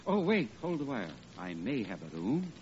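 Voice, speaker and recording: An actor playing a brisk, busy hotel desk clerk in old radio comedy style. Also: male voice, mid-range voice, very animated, darkish tone, lightly acted. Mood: brisk, officious. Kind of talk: 1940s radio comedy acting. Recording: muffled old radio broadcast, faint noise.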